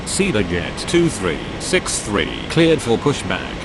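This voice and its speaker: Give a deep-voiced man acting on airport radio as pilot or controller. His procedural phrases are formal and clipped, yet his pitch swings widely. A clear noisy hum sits around him. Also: big pitch swings, slow pace, full character voice. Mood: businesslike. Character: male pilots and air traffic controllers on radio.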